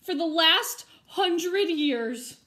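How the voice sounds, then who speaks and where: A woman voicing a lonely, excitable female ghost in a children's picture book, with a gushing, dramatic manner. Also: very high voice, very slow, voice pushed up, full character voice. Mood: delighted.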